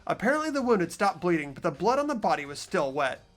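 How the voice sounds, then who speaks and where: Man playing high, animated, inquisitive teenage boy. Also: quick pace, faint music behind. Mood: thoughtful. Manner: inquisitive, thinking aloud. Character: earnest, puzzled teenage boy investigating a murder. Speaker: amateur voice actor in a fan playthrough. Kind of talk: fan-dubbed visual novel acting and narration.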